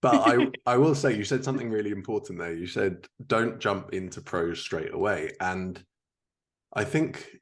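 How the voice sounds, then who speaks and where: A man with a fairly low voice speaks as a podcast host. His delivery is casual interview chat, conversational and informal. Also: moderate pace.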